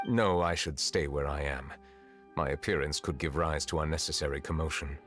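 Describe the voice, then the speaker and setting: A man voicing a grave, reserved chief justice in a fantasy game, speaking formally and with measured care. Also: very deep voice, steady tone, fairly bright tone, soft background music. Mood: grave, composed. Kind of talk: video game voice acting.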